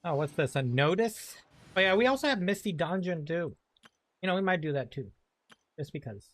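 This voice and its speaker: A man's voice: fairly high pitch, very animated, normal speed, faint music behind. A man giving casual let's play commentary, thinking aloud in a hesitant, rambling way. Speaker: game streamer.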